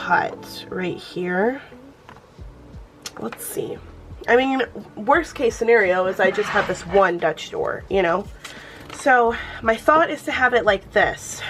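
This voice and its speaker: A woman's voice: mid-range voice, fairly bright tone. A young woman hosting a planner channel, chatting casually and thinking aloud as she crafts, her voice a bit off from a cold. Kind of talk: casual plan-with-me chat.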